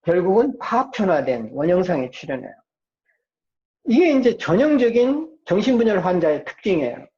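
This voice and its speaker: A man's voice: high voice, big pitch swings, moderate pace, dark tone. A man lecturing informally as a psychology professor, often asking questions and answering them himself. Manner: asks and answers own rhetorical questions. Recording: dull, muffled lo-fi lecture recording.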